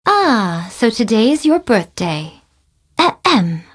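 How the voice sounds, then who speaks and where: An actress voicing a sly, haughty fox-spirit shrine priestess for a video game, with a playful, smug and refined theatrical delivery. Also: female voice, on the high side, sing-song, slow, heavily acted. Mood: ceremonious. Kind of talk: scripted video game character voice lines.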